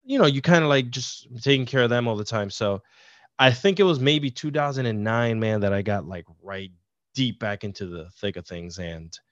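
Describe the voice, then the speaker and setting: A man's voice: mid-range voice, normal speed, a bit bright, dry recording. Middle-aged man from Puerto Rico, guest, loose rambling storyteller; dull, dry video-call sound. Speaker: podcast guest. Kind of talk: casual interview chat.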